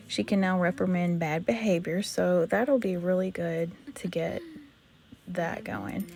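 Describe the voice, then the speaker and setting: Hoarse, gravelly woman narrating gameplay. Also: mid-range voice, darkish tone. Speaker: let's play host.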